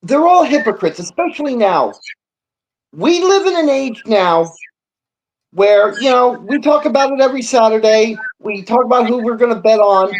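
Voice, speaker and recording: A man's voice: very high voice, lively intonation, moderate pace, muffled recording. A man co-hosting a casual sports talk show, giving emphatic, indignant opinions with conversational asides.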